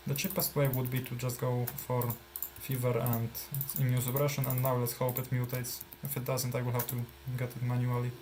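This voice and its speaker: A man's voice: mid-range voice, completely flat delivery, brisk, hardly any pauses, darkish tone, slight background noise. Man with a Polish accent, casual. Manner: thinking aloud, many fillers and trailing phrases. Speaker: gameplay streamer. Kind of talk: casual live gameplay commentary.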